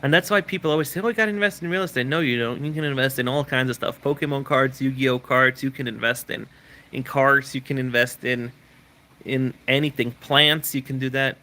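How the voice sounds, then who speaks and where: A man who grew up in Switzerland, chatting loosely through a live question-and-answer stream. His voice is bright and forward, at an ordinary middle pitch. He rambles, restarts his sentences and repeats words as he reads out viewer questions and answers them. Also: steady tone, a little fast. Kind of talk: casual live Q&A chat.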